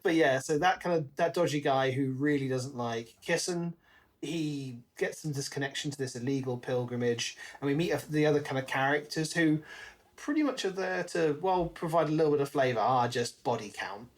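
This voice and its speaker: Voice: medium pitch, normal speed. A podcast co-host chatting casually about a book, thinking aloud in a conversational, musing way. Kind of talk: casual book discussion chat.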